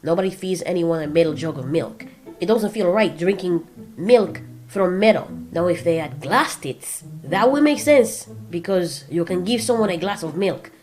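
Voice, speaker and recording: A woman's voice: lowish voice, expressive, moderate pace, a little mellow, natural voice. A woman voicing a crude, oblivious male flying monster who rambles chattily in a comic audio drama. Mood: musing.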